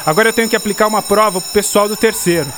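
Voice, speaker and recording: A man's voice: sits high, steady tone, very fast, close to an everyday voice. A man playing a kindly, philosophical literature teacher in a radio drama. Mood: friendly, busy. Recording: noisy school ambience and crowd behind.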